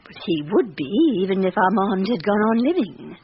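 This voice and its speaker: A woman's voice: mid-range voice, lively intonation, moderate pace, close to an everyday voice. A stately elderly noblewoman played by an actress speaks with formal, candid pride in a radio drama. Her emphatic lines come through a dull old recording with faint noise. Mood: proud, firm. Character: proud, candid elderly aristocratic French widow.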